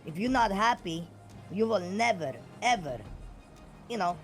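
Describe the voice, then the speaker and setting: A man with a squeaky, yelling voice, talking in character in a roleplay game and rambling with many fillers. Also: animated, a little slow, faint music behind.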